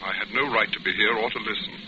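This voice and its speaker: An actor playing a polite, attentive but secretive gentleman passenger in a 1940s radio drama, suave and slightly hesitant. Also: fairly low pitch, steady tone, racing, natural voice. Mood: apologetic, coaxing. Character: smooth, attentive, secretive gentleman ship passenger courting a woman. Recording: muffled, very noisy old radio recording.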